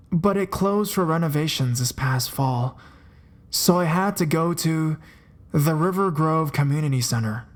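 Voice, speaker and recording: A man telling scary stories in a scripted narration, dropping his voice low and slowing down for suspense. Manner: dramatic, drops low and slows for suspense. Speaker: horror story narrator. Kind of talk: scripted horror story narration. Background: faint music.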